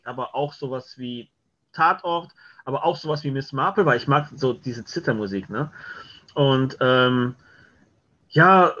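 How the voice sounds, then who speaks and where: A man in his thirties with a fairly high voice chats informally as an interview guest. The video call sound is slightly muffled and lo-fi. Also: a bit bright. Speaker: audio drama maker, interview guest. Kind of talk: casual chat, telling anecdotes. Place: room lined with shelves, on a video call. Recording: dull lo-fi video call audio.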